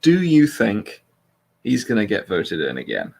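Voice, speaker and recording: A man's voice: fairly low pitch, huge pitch swings, a little slow, vocal fry, darkish tone, breathy edge. A British man hosting a casual political chat, speaking bluntly with swearing and a self-deprecating streak. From Britain. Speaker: host.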